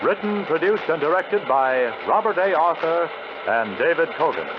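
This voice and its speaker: A man's voice: a little high, animated, moderate pace. A man giving a formal, dramatic scripted announcement as a 1950s radio announcer. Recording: noisy muffled old radio recording.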